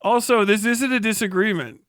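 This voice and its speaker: A man's voice: high-pitched, normal speed, a bit bright, no echo, clean studio sound. A man livestreaming sarcastic, profane off-the-cuff commentary in a mocking, exclamatory way. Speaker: livestream host.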